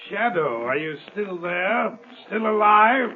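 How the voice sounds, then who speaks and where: An actor playing a treacherous murderer who speaks in a sly, taunting way. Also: male voice, high-pitched, lively intonation, slow pace, heavily acted. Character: gloating, treacherous male murderer. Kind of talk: 1940s radio acting. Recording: scratchy, tinny old radio broadcast.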